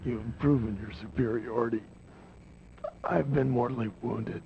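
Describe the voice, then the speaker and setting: An actor playing a mortally wounded, defeated rebel, weak and pleading in a melodramatic video game scene. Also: male voice, medium pitch, fairly even intonation, a little slow, natural voice. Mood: bitter, fading. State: weak, gasping, dying. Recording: dull, noisy 1990s game audio.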